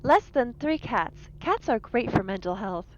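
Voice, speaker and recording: Lady playing a tabletop rpg, high voice, casual, kinda dull lo-fi mic. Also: sing-song, measured pace, a little hiss or hum. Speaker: player in a tabletop roleplaying game. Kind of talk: casual game-table talk. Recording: slightly dull, lo-fi sound.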